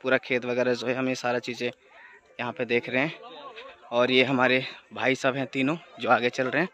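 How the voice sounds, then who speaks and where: A man's voice: medium pitch, little pitch movement, normal speed, a bit bright. A man in his thirties walking through open village fields at dusk, chatting to viewers on a phone held at arm's length. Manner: chatty, addresses viewers directly. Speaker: vlogger. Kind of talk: casual travel vlog narration.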